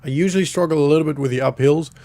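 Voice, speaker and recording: A man with a croaky voice giving relaxed, rambling commentary on a farming game, with many fillers. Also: fairly high pitch, very fast. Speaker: gaming YouTuber. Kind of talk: casual gameplay commentary.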